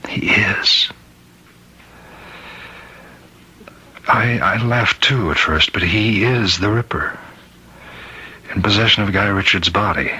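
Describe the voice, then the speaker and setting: An actor playing a tormented prison warden in an old-time radio drama, halting and repeating himself in a husky voice, as a husband who fears he is possessed. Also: low voice, bright, forceful tone, exaggerated voice, low-quality recording. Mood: haunted, grave. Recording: vintage radio drama recording.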